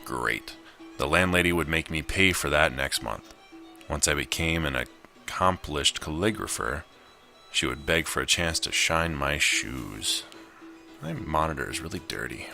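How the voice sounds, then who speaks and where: A man with an extremely low voice narrates a visual novel as he plays. His tone is bright and forceful, and faint music sits behind him. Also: lively intonation, a little slow, gravelly. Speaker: gamer narrating his gameplay. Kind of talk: visual novel read aloud with casual commentary.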